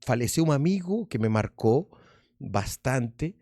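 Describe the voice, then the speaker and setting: A middle-aged man with a Spanish accent, originally from Argentina, telling stories casually as an interview guest. His voice is gravelly, with a rough, fry-laden texture. He rambles, restarts and slips in Spanish words, recorded dry in a studio. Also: medium pitch, very animated, a little slow, warm tone, no room sound. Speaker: guest, magician and comedian.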